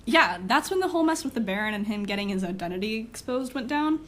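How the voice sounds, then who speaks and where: Woman acting brash superhero gal, kinda high voice, sweary teasing but encouraging, audio drama. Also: animated, fast, fairly bright tone. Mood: breezy. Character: Scarlet, brash, encouraging superpowered party host.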